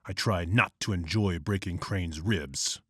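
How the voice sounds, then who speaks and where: A man's voice: low-pitched, a little slow, slightly rough, no room sound, clean studio sound. A man voicing a grim, brooding vigilante detective who narrates in terse, clipped lines. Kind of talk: comic narration.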